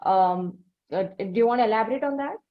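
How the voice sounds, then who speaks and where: A woman's voice: fairly high pitch, brisk. A woman hosting an interview, asking long questions with many hesitant fillers. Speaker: interview host. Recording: dull lo-fi sound.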